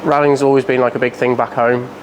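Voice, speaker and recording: A twenty-one-year-old man who speaks in a measured, unhurried way. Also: medium pitch, little pitch movement. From North Wales. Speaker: student rally team member. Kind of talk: casual interview answers. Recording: noisy background hiss.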